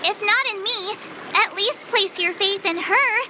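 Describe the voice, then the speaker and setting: A woman's voice: extremely high pitch, a little slow, background noise. A woman playing an exuberant, boastful teenage girl training as a swordswoman in an anime-style game. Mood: confident, pleading. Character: perky, eager teenage girl, apprentice swordswoman. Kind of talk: English anime game voice acting.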